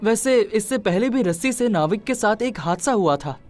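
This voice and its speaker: A woman's voice: mid-range voice, lively intonation, moderate pace, darkish tone, soft background music, close to an everyday voice. A woman voicing a loyal young cabin boy in a cartoon dub, with an eager, sincere manner. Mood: friendly. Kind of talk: Hindi cartoon dub acting.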